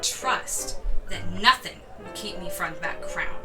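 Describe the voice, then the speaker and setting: A woman's voice: on the low side, expressive, slow pace, recorded from a distance, loud music behind the voice. A woman playing a cold, persuasive, haughty evil princess in an audio drama. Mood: scheming, cool.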